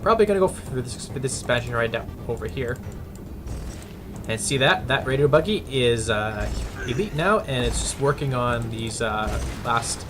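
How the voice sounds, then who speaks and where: A man casting a strategy game replay, halting with fillers and restarts and breaking into bursts of excitement. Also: medium pitch. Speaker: game commentator. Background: background music and keyboard typing.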